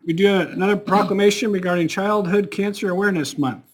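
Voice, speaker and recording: A mayor chairs a city council meeting. He briskly and informally calls up the next agenda items. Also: high-pitched. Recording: noisy recording with clicks.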